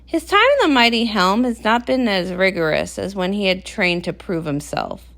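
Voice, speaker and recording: A woman narrating a comic read-aloud in an expressive storytelling style. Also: medium pitch, moderate pace, creaky.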